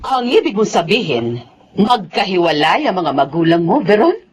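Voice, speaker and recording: A woman's voice: lowish voice, very animated, moderate pace, noisy background. An actress playing a disapproving, wealthy middle-aged Filipino mother in a radio drama, blunt and theatrical with a Filipino accent. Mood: probing disdain.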